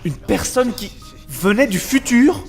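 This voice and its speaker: A man's voice: sits high, big pitch swings, measured pace, vocal fry, soft background music, exaggerated voice. A man acting in an audio drama as a troubled religious man questioning a speaker at a conference. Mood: alarmed. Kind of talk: acted dialogue in audio drama.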